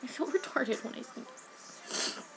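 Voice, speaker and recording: A teenage girl rambling hyperactively in a casual home webcam vlog, sniffly from a cold and jumping between topics. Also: takes it slowly. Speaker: vlogger.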